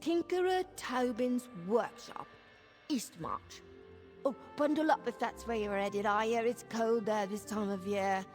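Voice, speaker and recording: A woman's voice: fairly high pitch, unhurried, faint music behind, natural voice. An actress playing a drunken female squatter in a fantasy video game, rambling, stumbling over words and lying badly. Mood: chatty, tipsy. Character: tipsy, rambling female squatter, chatty shameless bad liar. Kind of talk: video game character dialogue.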